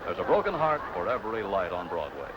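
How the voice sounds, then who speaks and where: A man's voice: low-pitched, very animated, fast talker, over a noisy crowd. A man reading scripted announcements for a television quiz. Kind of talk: scripted game show announcement. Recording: dull noisy old TV soundtrack.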